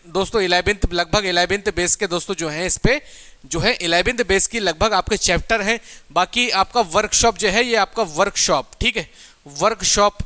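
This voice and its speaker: Male coaching teacher, high-pitched and forceful, repeats key points and poses questions he answers himself. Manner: repetitive, asks questions and answers them himself. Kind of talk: informal exam strategy lesson.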